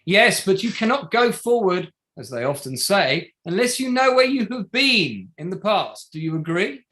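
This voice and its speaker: An actor playing a probing, sardonic barrister at a public inquiry in a play reading, formal and pointed, pressing with rhetorical questions. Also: male voice, sits high, sing-song, moderate pace, sharp tone, full character voice. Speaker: actor in an online table read. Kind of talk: courtroom-style cross-examination in a play reading.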